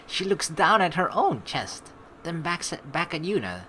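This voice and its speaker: Animated, bright-voiced young woman gamer. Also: on the low side, unhurried, slight background noise. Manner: playful, expressive, slangy. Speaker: let's play host. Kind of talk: playthrough commentary, reading game narration aloud.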